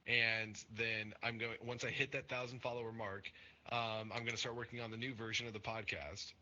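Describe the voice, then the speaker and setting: A man's voice: medium pitch, flat delivery, a little fast, pushed and bright, highs cut off. A young man hosting a casual podcast, talking earnestly and rambling with many fillers and restarts. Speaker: podcast host and Twitch streamer.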